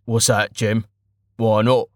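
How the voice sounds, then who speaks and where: Man doing a rough, dim bandit henchman. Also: fairly low pitch, level tone, takes it slowly, no echo, studio quality. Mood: eager.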